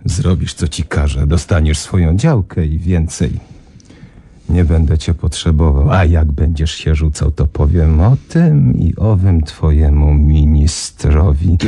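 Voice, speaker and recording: A husky, menacing crooked tycoon. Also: male voice, extremely low pitch, unhurried, mellow, heavily acted. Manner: threatening, mocking. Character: menacing middle-aged male crooked businessman. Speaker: corrupt company president. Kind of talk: 1990s radio drama acting.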